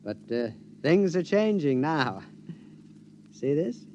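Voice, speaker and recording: An actor playing a middle-aged henpecked husband, excitable and stammering with giggles, in a 1950s radio drama. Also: male voice, on the high side, takes it slowly, dull audio, slight background noise. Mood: gleeful.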